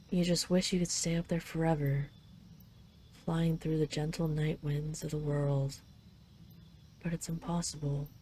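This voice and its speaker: A woman playing a dragon queen who is tender, gently teasing and possessive in an intimate roleplay audio drama. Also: low voice. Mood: soft, wistful. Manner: affectionate, gently teasing, wistful.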